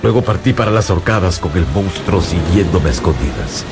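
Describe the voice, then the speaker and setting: An actor playing an anguished young scientist in a radio drama, halting, dramatic and emotional. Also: male voice, low-pitched, lively intonation, music in the background. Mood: haunted dread. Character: anguished young male scientist, dutiful, haunted by guilt. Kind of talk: radio drama acting and narration.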